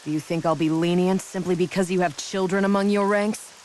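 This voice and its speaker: A woman's voice: lowish voice, fairly even intonation, brisk, a little hiss or hum, close to an everyday voice. A woman playing a cold, haughty, dutiful knight lieutenant in a fantasy game, speaking in clipped, formal commands. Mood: cold, threatening. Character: stern, dutiful female imperial knight lieutenant, coldly haughty. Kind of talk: anime fantasy game voice acting. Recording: loud music behind the voice.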